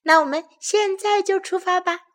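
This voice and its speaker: A woman voicing an excitable little boy bear cub in a storybook, exclaiming and begging. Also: very high voice, moderate pace, voice pushed up. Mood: excited, boastful.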